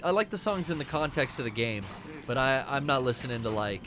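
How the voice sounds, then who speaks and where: A man's voice: mid-range voice, animated, a little fast, sharp tone. An American man, middle-aged, co-hosting a livestream in casual, informal chat with some swearing. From United States. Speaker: livestream co-host. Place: small cluttered room indoors. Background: music.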